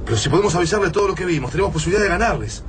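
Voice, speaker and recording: A man's voice: high-pitched, animated, very fast, noisy background. A man playing an urgent, resolute resistance fighter in a radio drama, intense and pressing. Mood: urgent, hopeful.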